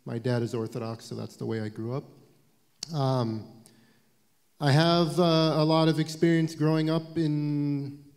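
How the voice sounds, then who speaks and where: A man in his forties, speaking on a panel in a halting, thoughtful way with frequent restarts, in an event hall. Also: on the high side, fairly even intonation, darkish tone. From United States. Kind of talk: panel talk. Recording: long reverb of a lecture hall.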